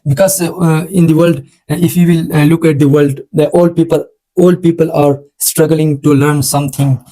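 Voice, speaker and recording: A man whose first language is Urdu, speaking English with an Urdu accent, hosting an English-learning channel and giving informal advice to viewers in a halting way, full of hesitant fillers. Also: a little high, steady tone, warm tone, rough recording.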